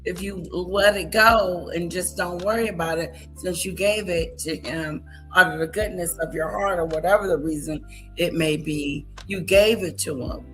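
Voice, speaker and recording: A woman's voice: lowish voice, moderate pace, a bit bright, soft background music, poor audio. A woman doing reaction commentary in a blunt way, repeating her points and mimicking other people's words. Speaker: commentary host. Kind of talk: reaction commentary on played clips.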